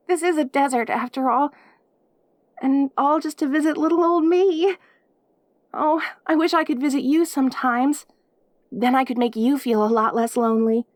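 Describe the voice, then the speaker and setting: A woman's voice: high-pitched, no room sound, clean studio sound, close to an everyday voice. A woman acting a lonely, clingy caged demon girl in an audio roleplay, perky and cutesy, gushing and stammering at the listener.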